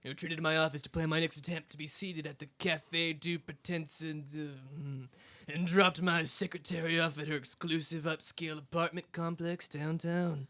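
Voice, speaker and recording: A man playing a bright, hammy bumbling detective. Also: on the high side, flat delivery, moderate pace. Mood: brooding, mock-dramatic. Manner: hammy, fumbles words. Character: bumbling, self-important private detective. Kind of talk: parody noir radio narration and acting.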